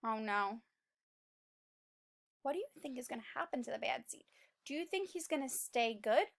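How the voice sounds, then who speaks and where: A woman reading a picture book aloud as a teacher, expressive and exclaiming, prompting young children with questions. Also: fairly high pitch, normal speed, vocal fry.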